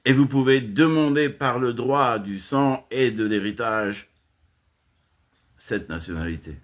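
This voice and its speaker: A man delivering an impassioned spoken rant to his channel, swinging from emphatic high outbursts to low muttered asides, with frequent restarts. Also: unhurried. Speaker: channel host giving a monologue.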